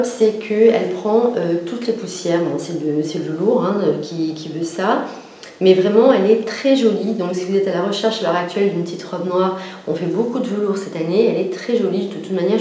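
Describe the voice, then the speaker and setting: A woman's voice: medium pitch, warm tone. Chatty woman in an echoey room. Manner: chatty, repetitive lists, frequent "euh" fillers. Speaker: fashion vlogger. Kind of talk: casual talk to camera. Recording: very echoey room with faint noise.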